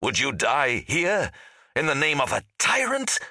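A man's voice: mid-range voice, huge pitch swings, unhurried, sharp tone, no room sound, clean studio sound, pitched above the performer's own voice, exaggerated voice. A man voicing a wrathful undead spectre warlord in an audiobook, hollow and harsh, grandiose and commanding. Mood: cold menace. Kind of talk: dramatic audiobook character voice.

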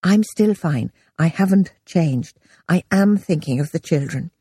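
A woman voicing a calm, determined wife who speaks in a steady, firm manner. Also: on the low side, measured pace, breathy edge, no echo, clean studio sound.

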